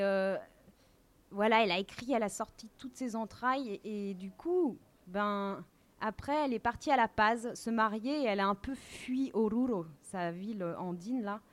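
Higher-voiced woman, halting and heartfelt. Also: a bit bright. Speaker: guest speaker on a live radio show. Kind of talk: unscripted personal testimony before an audience.

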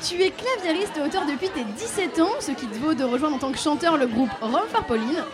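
High-voiced playful woman radio host. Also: expressive, a little fast, a loud crowd. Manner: playful, teasing delivery, laughing between lines. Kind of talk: radio interview introduction.

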